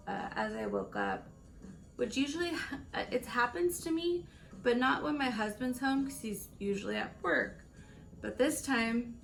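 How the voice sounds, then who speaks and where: A woman in her late twenties with a raspy voice, chatting casually through a makeup tutorial and rambling between stories with laughs. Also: fairly high pitch. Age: late 20s. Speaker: beauty vlogger.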